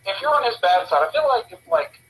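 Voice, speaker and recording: A young man co-hosting a casual wrestling talk show, excitable, swearing freely and acting out moves. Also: extremely high pitch, a little fast, a little hiss or hum, low-quality recording. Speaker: co-host. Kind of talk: casual wrestling podcast chat.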